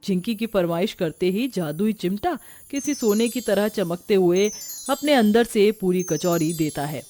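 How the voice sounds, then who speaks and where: A woman's voice: mid-range voice, lively intonation, a little slow, mellow, soft background music. A woman reading scripted narration for a cartoon story.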